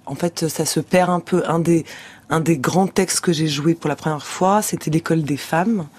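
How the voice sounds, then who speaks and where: A woman's voice: sits low, normal speed, a little mellow, breathy edge. A young actress talking thoughtfully in a radio studio interview, searching for her words as she goes.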